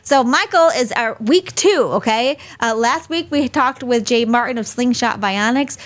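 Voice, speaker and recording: A woman's voice: on the high side, normal speed. A woman hosting a live webinar over a video call, chatty and friendly, laughing easily. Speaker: host.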